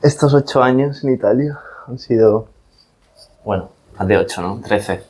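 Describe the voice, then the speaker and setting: A man's voice: mid-range voice, a little slow, a little mellow, slightly rough. A man hosting a podcast in a casual, conversational interview style. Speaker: podcast host.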